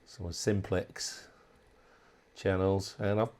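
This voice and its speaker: A man giving a casual, unscripted walkthrough as a hobbyist presenter, thinking aloud in a halting way. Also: low voice, level tone, darkish tone. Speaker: hobbyist presenter demonstrating a modified radio.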